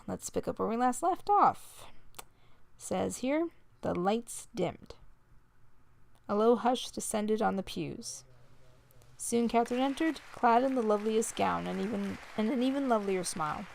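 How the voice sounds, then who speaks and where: A woman hosting a let's play, reading visual novel text aloud in a measured way with casual asides. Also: fairly high pitch, animated, gravelly, no echo. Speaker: host of a gaming video series.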